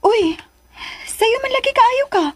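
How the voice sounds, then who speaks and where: A woman's voice: very high-pitched, lively intonation, slow pace, no room sound, faint music behind, heavily acted. A woman playing a jealous, sarcastic lover who taunts emphatically in a Filipino radio drama. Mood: surprised, probing.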